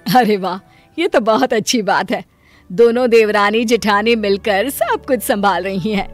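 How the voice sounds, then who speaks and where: A practical older mother-in-law in an animated family drama , played by a woman. She chides and nags in a matronly manner, with music behind her. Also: medium pitch, unhurried, no room sound, natural voice. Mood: approving. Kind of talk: animated Hindi family story dialogue.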